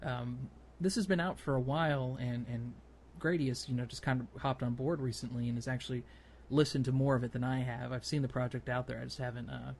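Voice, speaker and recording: Male gaming podcast host, medium pitch, halting conversational delivery, faint noise behind the voice. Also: fairly even intonation, gravelly, darkish tone. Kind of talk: casual gaming podcast discussion.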